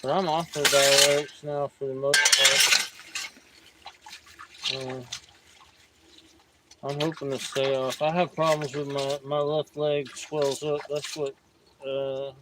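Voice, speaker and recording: A man in his fifties, hosting a prospecting livestream outdoors and chatting in a relaxed, rambling way. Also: mid-range voice, slow, long pauses, very noisy. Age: 50s. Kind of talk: casual outdoor chat. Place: outdoors by a creek.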